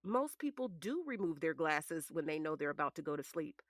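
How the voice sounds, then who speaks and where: A woman's voice: deep voice, big pitch swings, fairly quick, no room sound, clean studio sound. A woman playing a shrewd defense attorney in a courtroom audio drama, questioning in a pointed, dryly sarcastic way. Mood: probing, sly.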